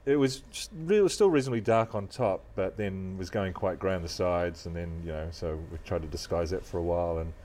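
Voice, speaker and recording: A middle-aged man with a deep voice and a New Zealand accent, chatting as a guest in a relaxed, modest, measured way. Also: slight background noise. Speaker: guest, news presenter. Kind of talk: relaxed interview chat.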